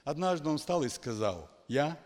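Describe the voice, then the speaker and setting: A man preaching in a church hall, his higher voice ringing out with a long reverb. He swings widely in pitch, dropping to hushed tones and then shouting his exclamations. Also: big pitch swings, normal speed. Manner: emphatic, dramatic swings from quiet to shouting. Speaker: pastor. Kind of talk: charismatic sermon.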